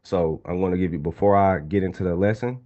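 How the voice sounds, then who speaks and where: A young man in his twenties with a low, mellow voice teaches a live online trading class. He talks casually and hypes up his listeners, often turning to the chat. The sound is a little dull and lo-fi. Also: fast. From Atlanta, Georgia. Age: 20s. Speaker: trading instructor.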